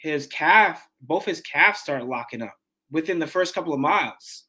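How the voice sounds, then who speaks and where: Lively man in his forties, fitness trainer. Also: on the high side, moderate pace. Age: 40s. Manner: animated, restarts often, says man and dude. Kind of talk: casual interview chat.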